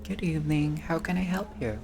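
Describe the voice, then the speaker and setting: A man's voice: fairly high pitch, a little fast, gravelly, pitched above the performer's own voice. A man voicing a polite, guarded middle-aged woman who keeps a wine shop in a vampire game. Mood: guarded politeness.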